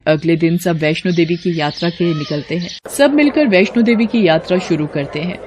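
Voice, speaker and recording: A woman's voice: fairly low pitch, a little fast, a little mellow, a little hiss or hum. A woman reading scripted story narration for a family cartoon.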